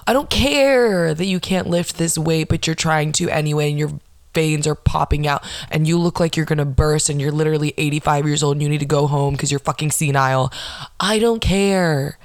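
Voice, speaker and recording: A young woman hosting a podcast, ranting casually with lots of swearing and sarcastic mock quotes, with a low, non-nasal voice. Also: no room sound, studio quality. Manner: sarcastic, swears a lot, acts out mocking quotes. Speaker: podcast host.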